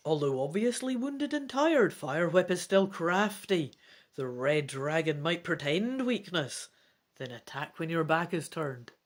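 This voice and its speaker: A woman reading an adventure gamebook aloud in a theatrical, deliberate style. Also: lowish voice. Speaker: gamebook reader and player. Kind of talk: dramatic storybook narration.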